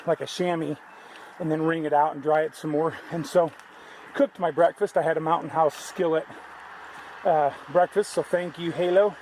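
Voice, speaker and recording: A man records himself on a mountain trail, his voice sitting a little high as he rambles through his morning. He talks loosely, backs up and restarts his sentences. A faint outdoor hiss runs under him. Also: a little mellow, low-quality recording. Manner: rambling, conversational, many restarts. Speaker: thru-hiker vlogger. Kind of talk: casual trail vlog.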